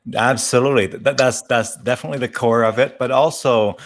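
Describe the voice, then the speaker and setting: A man hosting a casual interview, talking in a halting, explanatory way and often checking in with a questioning tag. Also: mid-range voice, steady tone. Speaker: interview show host.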